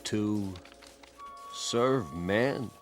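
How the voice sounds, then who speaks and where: A man's voice: on the low side, extremely slow, faint music behind. A man playing a skeptical, stern military colonel in a radio drama, speaking in a clipped, formal, sardonic way.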